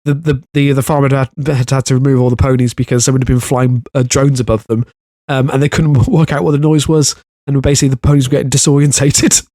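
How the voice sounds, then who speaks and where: A man with a Welsh accent co-hosting a comic podcast, reading stories in a halting, giggly way. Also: medium pitch, flat delivery, mellow, no echo, studio quality. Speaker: podcast co-host. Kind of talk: comedic news reading.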